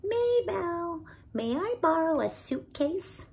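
A woman's voice: sing-song, slow pace, creaky, a little mellow, exaggerated voice. A woman doing a young pleading bird boy. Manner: high, pleading. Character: young anxious male bird.

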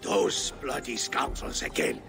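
An actor playing a fretful, well-fed high official of a medieval town in a video game. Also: male voice, huge pitch swings, a little slow, creaky, bright, forceful tone, soft background music, full character voice. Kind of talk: video game cutscene acting.

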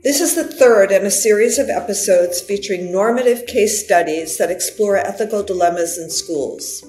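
A middle-aged woman co-hosts a podcast, reading from a script in a medium-pitched voice. Her home video-call sound is roomy, and music plays behind her. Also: moderate pace, darkish tone. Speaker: podcast co-host. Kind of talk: scripted introduction, read out. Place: living room at home.

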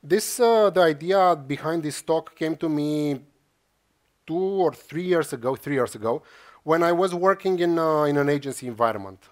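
A man's voice: a little high, expressive, normal speed. Man giving a conference talk. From Romania. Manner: many "uh" fillers and restarts, explaining to a crowd. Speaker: conference speaker. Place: large lecture hall stage.

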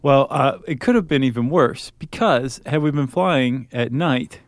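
A man's voice: mid-range voice, unhurried, dry recording, clean studio sound. An American man co-hosting a podcast in casual, conversational style. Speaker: podcast co-host.

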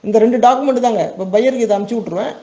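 A man with a high voice and a soft, mellow tone walks through a tutorial informally. He speaks fast in a Tamil Nadu accent. His manner is plain and reassuring, often checking that listeners follow. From Tamil Nadu, India. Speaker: export business owner giving a tutorial.

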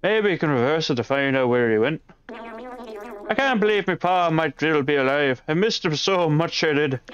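A man's voice: on the high side, expressive, normal speed, fairly bright tone, muffled recording. A man reading a young game character's lines in a put-on Irish accent, exaggerated and playful. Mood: earnest.

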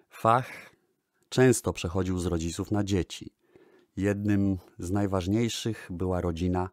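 Guy hosting a music history radio show, low voice, formal and polite, very dry sound. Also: darkish tone, dry recording. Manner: formal, eloquent, addresses the audience politely. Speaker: host presenting archive records.

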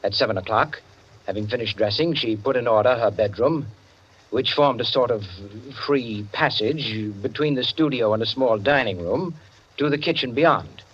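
An actor playing an urbane storyteller, narrating 1940s radio drama in a measured, literate, deliberate manner. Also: male voice, medium pitch, slightly rough, natural voice. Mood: composed, wry. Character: urbane storyteller of a society mystery. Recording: muffled old radio recording with music.

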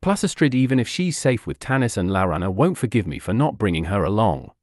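A man's voice: on the low side, very animated, fairly quick, darkish tone, no room sound, clean studio sound. Man doing a whiny young kender. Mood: fretful. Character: weak, curious young male kender.

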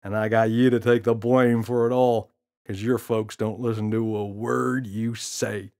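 A man's voice: fairly low pitch, flat delivery, measured pace, a little mellow. A man voicing a cocky, mischievous talking gorilla with a slangy, teasing, swaggering delivery. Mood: smug.

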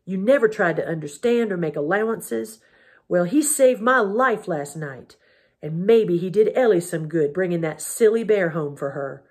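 A boy, grief-stricken and furious, half crying and half shouting at his mother, as a woman reading the storybook puts him on. His voice sits low for a woman's and comes out husky, loud and strained. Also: animated, moderate pace. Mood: raging, tearful.

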